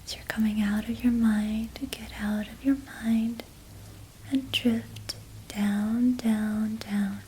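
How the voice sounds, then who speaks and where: A young woman whispering a gentle ASMR reiki relaxation in soft, slow, repetitive phrases. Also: fairly high pitch, little pitch movement, slight background noise, rough recording. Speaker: ASMR creator.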